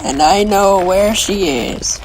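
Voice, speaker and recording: A woman voicing a confident, sporty young female sea pony in a cartoon fan dub, assured and unhurried. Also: medium pitch, a little hiss or hum, natural voice, low-quality recording. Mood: insistent.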